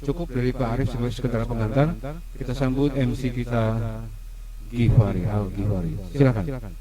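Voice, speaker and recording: A man's voice: mid-range voice, moderate pace, a crowd in the background, rough recording. A man with an Indonesian accent giving a casual, explanatory introduction as a podcast host, often posing rhetorical questions. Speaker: host of a school history podcast.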